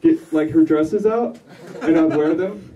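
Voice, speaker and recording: Man joking on a bar stage. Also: high-pitched. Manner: rambling, self-mocking, laughs through lines. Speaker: live musician between songs. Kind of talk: joking stage banter and singing. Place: small dark bar stage. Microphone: handheld vocal mic through PA, filmed from the room. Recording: distant camera in a loud bar.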